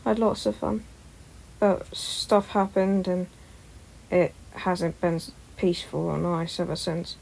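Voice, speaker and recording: A woman acts out a brash teenage boy from a fantasy story. He is energetic and boastful, a rambler who talks with youthful bluster. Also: fairly low pitch, measured pace, creaky, rough recording. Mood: wistful rambling. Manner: boastful, rambling, loud when excited. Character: brash, energetic sixteen-year-old winged boy.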